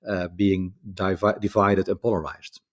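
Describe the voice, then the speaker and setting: A man's voice: sits low, little pitch movement, normal speed. A Dutch man speaking English as a guest author, talking over a video call in a rambling, reflective way with many restarts. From Netherlands. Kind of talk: casual conversation.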